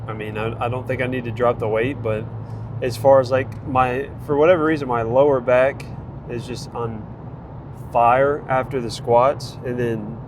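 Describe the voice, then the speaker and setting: A man's voice: medium pitch, steady tone, moderate pace. A man with a congested voice giving a rambling, casual vlog update on his training, full of fillers and restarts. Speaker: vlogger tracking a training program. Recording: noisy outdoor recording.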